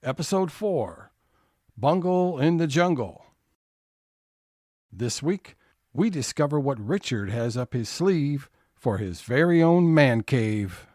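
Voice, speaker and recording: A man narrating a scripted audio comedy episode as its announcer, in a measured storytelling manner. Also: mid-range voice, animated, darkish tone, dry recording, studio quality. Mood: presenting.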